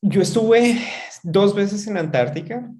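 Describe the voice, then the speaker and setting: A man in his thirties from Bogotá, with a Bogotá Colombian accent, giving reflective, measured answers as an interview guest. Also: high-pitched, a little mellow. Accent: Bogotá Colombian Spanish. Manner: reflective, measured, with frequent pauses. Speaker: astrophysicist guest.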